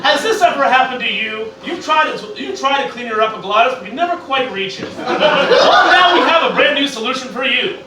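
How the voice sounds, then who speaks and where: A man's voice: very high-pitched, lively intonation, a little fast, hardly any pauses. A man co-hosting a live show with improvised mock promotional announcements. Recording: echoey room, distant mic, background noise.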